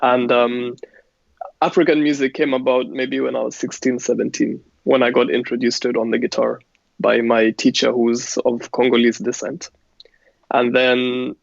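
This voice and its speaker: A man with a gravelly, level voice that barely rises or falls. He answers as a musical guest in an easy, unhurried way. The audio is dry and dull. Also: mid-range voice, no echo. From Kenya. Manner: relaxed, unhurried, laughs easily. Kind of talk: casual interview answers.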